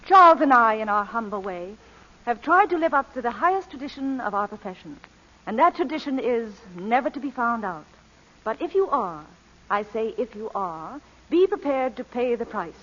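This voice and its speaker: A coolly unrepentant society lady thief with a lively, swooping voice. She is genteel and teasing in old radio drama style, on a dull, lo-fi recording. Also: on the high side, normal speed, full character voice. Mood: mock-sweet. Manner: genteel, teasing, mock-innocent. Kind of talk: 1940s radio drama acting.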